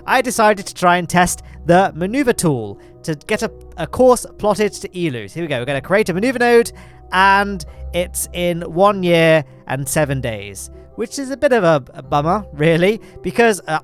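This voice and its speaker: An English man with a nasal, congested voice, rambling through casual gameplay commentary with lots of fillers and self-deprecating asides. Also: high-pitched. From England. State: congested from hay fever. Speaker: gaming channel host.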